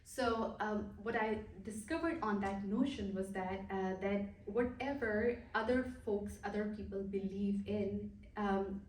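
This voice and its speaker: Woman from Pakistan, thirties, earnest and halting, echoey room, distant mic. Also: mid-range voice, darkish tone, a little hiss or hum. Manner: earnest, hesitant with many fillers. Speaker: guest speaker. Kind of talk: personal testimony talk.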